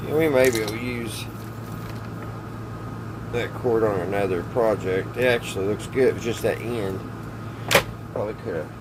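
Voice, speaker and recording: A middle-aged man, talking casually and folksily, half to himself, as he hosts a hands-on repair video. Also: medium pitch. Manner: folksy, muttering to himself while working. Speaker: host of a hobby radio repair video. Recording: constant background hum and ambient noise.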